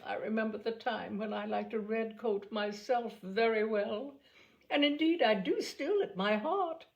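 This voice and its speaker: A woman voicing an excitable, fussy, gushing middle-aged mother in a storybook reading. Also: fairly high pitch, a little slow, gravelly, highs cut off. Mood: indignant, fond. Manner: indignant, exclamatory, fussy.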